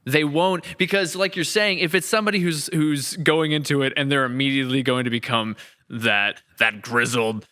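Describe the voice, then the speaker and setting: A man's voice: mid-range voice, normal speed, pushed and bright. A man hosting a casual podcast interview, talking in a wordy, thoughtful, rambling way. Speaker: podcast host and series writer.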